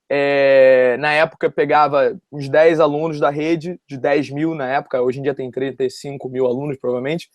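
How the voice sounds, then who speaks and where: Young guy w rio accent, casual rambly storytelling, dry sound no room echo. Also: fairly high pitch. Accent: Rio de Janeiro Brazilian Portuguese. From West Zone of Rio de Janeiro, Brazil. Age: early 20s. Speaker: interview guest, university student. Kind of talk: casual personal storytelling in an interview.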